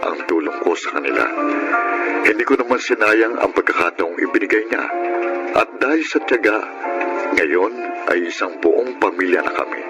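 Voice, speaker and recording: A man's voice: deep voice, lively intonation, normal speed, long pauses, over loud music. A man playing a remorseful, patient father telling his life story in a radio drama. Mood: remorseful, tender. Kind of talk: Filipino radio drama acting.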